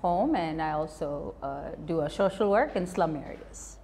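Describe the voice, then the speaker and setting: Slow, hesitant woman from India. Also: low-pitched, expressive, darkish tone, a little hiss or hum. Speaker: guest, home baker. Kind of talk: casual self-introduction. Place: café.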